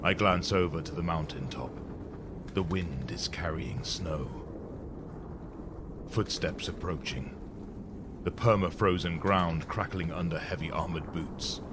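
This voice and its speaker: A man's voice: sits low, measured pace, long pauses, background noise. A man giving a dramatic first-person narration of a story.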